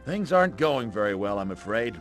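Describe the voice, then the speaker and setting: An actor playing a precise, fretful academic in a video game, with a formal, fussy manner. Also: male voice, on the low side, very animated, a little fast, soft background music. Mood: glum, worried. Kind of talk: animated video game voice acting.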